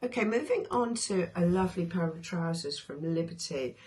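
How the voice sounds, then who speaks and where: A woman speaks in a somewhat low, hoarse voice that is clearly giving out on her. She chats informally, hesitating with ums and restarting her sentences as she talks through sewing patterns. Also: animated, moderate pace, darkish tone. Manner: chatty, with many ums and restarts. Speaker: sewing vlogger. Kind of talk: casual chat reviewing sewing patterns.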